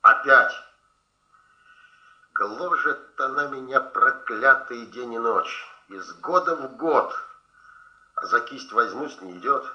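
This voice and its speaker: A man's voice: medium pitch, slow, long pauses, lightly acted. Man playing a brooding painter, echoey and dull. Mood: tormented. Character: brooding, obsessive painter, bantering old friend of middle age. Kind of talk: Soviet radio drama acting.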